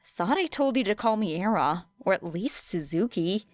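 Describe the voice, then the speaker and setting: A woman's voice: mid-range voice, lively intonation, moderate pace, no room sound, close to an everyday voice. A woman voicing a scrappy, determined teenage girl in an audiobook, casual and blunt in manner. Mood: grumbling.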